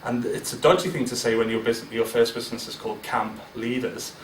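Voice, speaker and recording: A man's voice: mid-range voice, fairly even intonation, fairly quick, slight background noise. Roomy, distant man giving a candid speech. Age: 40s. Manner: candid and self-deprecating, with many fillers and restarts. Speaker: guest speaker. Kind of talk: informal business speech. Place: large event room with an audience. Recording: roomy, distant mic with faint ambience.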